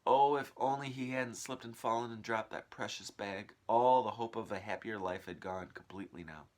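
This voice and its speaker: Male storyteller, slightly deep voice, expressive and dramatic read-aloud delivery for children. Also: moderate pace.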